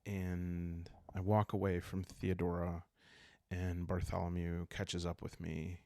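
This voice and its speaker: A man hosting a solo roleplay game playthrough, calm and soft-spoken, improvising the story and thinking aloud as he goes. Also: low-pitched, takes it slowly, dry recording, studio quality. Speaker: host playing a solo journaling roleplay game.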